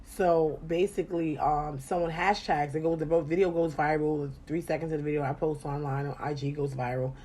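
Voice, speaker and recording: A woman hosting a casual podcast chat, animated and profane, her voice jumping loud and high when she gets excited. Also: a little fast, hardly any pauses. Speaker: podcast host.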